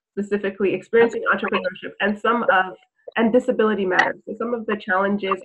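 A woman from Jamaica hosting an interview over a video call, chatty and asking many questions. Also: medium pitch. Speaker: interviewer, summit host. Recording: tinny phone-like video call line with noise.

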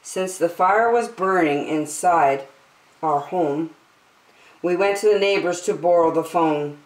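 In a roomy, echoing space, a middle-aged woman reads a rhyming storybook for children. Her voice sits a little low, and she goes very slowly, drawing words out with deliberate emphasis. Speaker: children's story time host. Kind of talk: expressive read-aloud of a rhyming picture book for children. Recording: roomy indoor echo.

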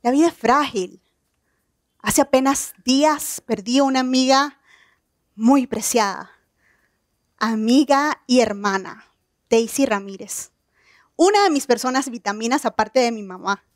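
A woman's voice: a little high, no echo, studio quality. Earnest woman giving a stage talk. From Nicaragua. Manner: earnest, measured, personal. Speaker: keynote speaker. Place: outdoor evening stage event.

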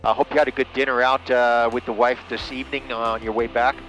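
A man chatting over ham radio, relaxed and enthusiastic, with lots of fillers, from a small plane cockpit and his radio room. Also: mid-range voice, a little fast, soft background music, low-quality recording. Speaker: private pilot and ham radio operator. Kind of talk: casual radio chat.

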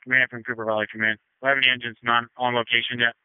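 A man's voice: mid-range voice, level tone, rapid-fire, smooth, dry recording. A man giving brief procedural reports as an emergency responder over two-way radio. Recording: thin, narrowband fire radio traffic.

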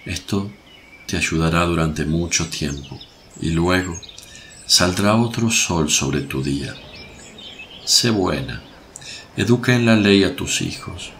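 A solemn male holy teacher played by an actor in a radio play. His low voice moves slowly with compassionate authority, over faint birdsong. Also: a bit bright, a little hiss or hum, full character voice. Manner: solemn, measured. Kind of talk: Spanish-language radio play acting.

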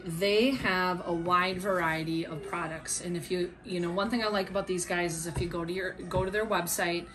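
A woman's voice: lowish voice, a bit bright. A middle-aged woman talking informally and at some length in a casual product review, recorded at a table at home. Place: small room at home, indoors. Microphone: camera a short way off across a table.

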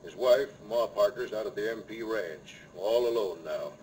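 An actor playing an obliging, officious frontier town mayor in an old radio western. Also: male voice, lowish voice, moderate pace, a little mellow, natural voice, low-quality recording. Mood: grave. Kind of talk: 1950s radio western acting. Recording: old radio recording, faint noise.

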